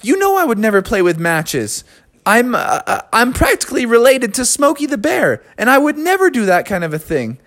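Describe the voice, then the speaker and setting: An eager, good-natured grizzly bear, put on by a man talks in a voice pushed well above his own, bright and chipper. He is quick to offer help and eager to please in a storytelling voice. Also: very high-pitched, wildly swooping pitch, pitched above the performer's own voice, heavily acted. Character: friendly excitable male grizzly bear.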